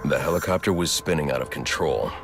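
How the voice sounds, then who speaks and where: A grim game vigilante, extremely low and gravelly. He speaks in terse, stern phrases like a brooding detective, with music playing behind him. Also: fast. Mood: grim, analytical. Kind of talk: dramatic video game acting.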